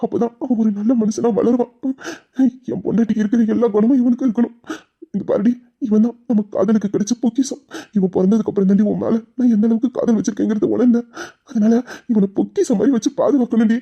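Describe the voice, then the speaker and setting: A woman acting a tender, protective young husband and new father in a melodramatic audio drama, with a dark husky voice. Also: on the high side, fairly even intonation, quick pace. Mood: earnest, loving. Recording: dull, muffled lo-fi sound.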